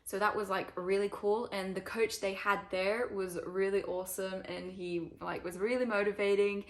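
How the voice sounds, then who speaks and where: Young woman with a slightly northern British accent. Casual, chatty vlogger voice, middle pitch, filler-heavy. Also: fairly even intonation, normal speed. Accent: northern English-tinged British. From Switzerland. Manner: chatty, many ums and likes. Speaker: climber and video creator. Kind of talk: casual Q&A to camera.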